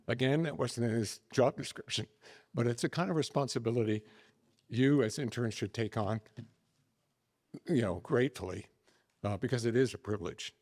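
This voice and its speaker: A man's voice: medium pitch, darkish tone. A man giving a bilingual welcome address as a donor, with informal, joking asides. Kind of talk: bilingual English and French address. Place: reception room at a faculty club.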